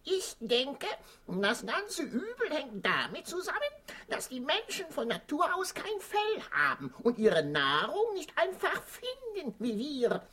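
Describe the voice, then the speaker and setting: Didactic parrot: high-pitched, measured pace, sing-song theatrical delivery, children's radio drama. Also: female voice, huge pitch swings, pushed and bright, full character voice. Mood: lecturing. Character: clever, lecturing female parrot, animated storybook companion. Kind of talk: children's radio play acting.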